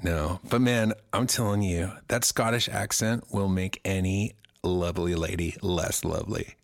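A man's voice: sits low, steady tone, unhurried, creaky, dry recording, clean studio sound. A male podcast co-host and singer getting over a cold, joking crudely in a rambling, casual way.

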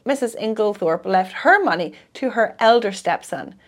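Soothing, measured male family lawyer, read by a woman. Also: medium pitch, animated, natural voice.

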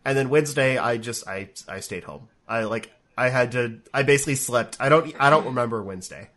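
A man's voice: medium pitch, a little fast, a bit bright. A man co-hosting a casual online show, congested and sniffly from a cold, rambling with lots of fillers and restarts. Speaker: podcast co-host. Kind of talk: casual chat. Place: home room. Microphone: webcam setup, remote call.

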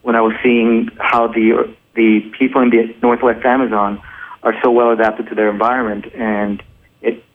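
A man's voice: mid-range voice, level tone, moderate pace. A man with a mid-range voice, squeezed into a narrow phone-like band. He talks earnestly about his work, restarting his thoughts as he goes. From Colombia. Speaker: documentary producer, guest. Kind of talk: casual radio interview. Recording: narrow phone-like radio sound.

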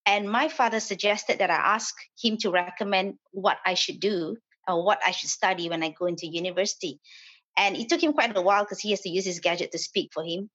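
A woman's voice: medium pitch, fairly quick, a bit bright, husky, highs cut off. Hesitant woman guest from Malaysia. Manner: hesitant, with frequent fillers and restarts. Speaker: property developer. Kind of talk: podcast interview guest.

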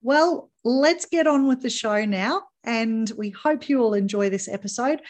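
A woman's voice: a little high, a little slow. A woman hosting a tourism podcast, talking listeners through industry trends in an upbeat, promotional way. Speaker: podcast host. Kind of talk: podcast host monologue.

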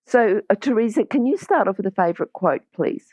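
A woman hosting a casual interview, chatty and quick to chime in with agreement. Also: medium pitch, expressive, darkish tone, no echo. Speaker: podcast interview host.

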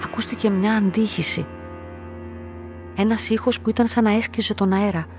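Woman acting as lonely young woman telling her story in a radio play, medium pitch, measured and inward, music under it. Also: steady tone, natural voice. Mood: quietly observant. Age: young adult. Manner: measured, introspective. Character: lonely, submissive, quietly observant young woman narrator. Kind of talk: literary first-person radio drama narration.

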